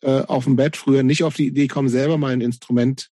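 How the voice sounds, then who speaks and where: A man's voice: medium pitch, steady tone, fast talker, warm tone, dry recording, studio quality. A man hosting a casual interview, feeling his way through questions and rephrasing as he goes. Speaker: podcast host, interviewer.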